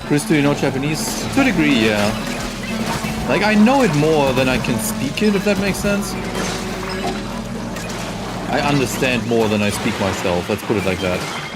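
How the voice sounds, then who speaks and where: A man's voice: mid-range voice, sing-song, normal speed, long pauses. A young man whose first language is German, chatting casually with viewers while playing a game on a live stream. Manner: chatty, reads chat aloud, shrieks when hit in game. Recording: loud game music behind.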